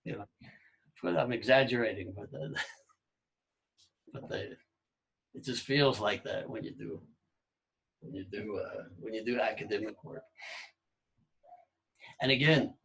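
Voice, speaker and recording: A middle-aged man hosting a podcast, talking in a casual, halting way with many restarts. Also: lowish voice, vocal fry, fairly bright tone, husky, rough recording. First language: English. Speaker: podcast host. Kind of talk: casual conversation.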